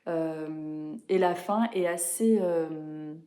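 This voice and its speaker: A young woman chatting casually about books she has read, with many fillers and quick self-corrections. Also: low voice, warm tone. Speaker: book vlogger. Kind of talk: casual book review chat.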